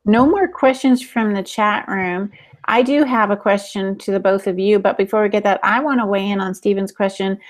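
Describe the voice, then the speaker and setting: Mid-pitched woman co-hosting a chat. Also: fairly even intonation, moderate pace. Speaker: podcast co-host and author. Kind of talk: casual podcast discussion.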